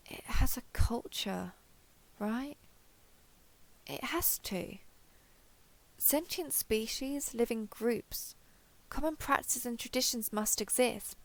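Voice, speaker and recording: Woman playing a slow-talking, wary alien explorer. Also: a little high, low-quality recording. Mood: curious, frustrated. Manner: hesitant, thinking aloud, wry. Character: excitable, wary female alien explorer with blue-grey skin. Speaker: voice actor. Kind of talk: audio roleplay internal monologue.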